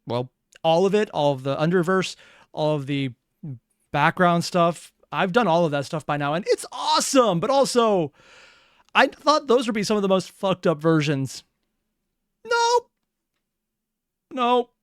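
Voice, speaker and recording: Casting: male reaction host, fairly high smooth voice, wry, analytical, conversational. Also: big pitch swings, unhurried, pauses often, dry recording, studio quality. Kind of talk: casual off-the-cuff reaction commentary.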